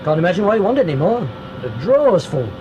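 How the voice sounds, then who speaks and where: An actor playing a blunt, curious young rig worker in a radio drama. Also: male voice, big pitch swings, fast, a little mellow, lo-fi sound. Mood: probing. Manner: blunt, questioning. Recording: noisy rumbling rig background.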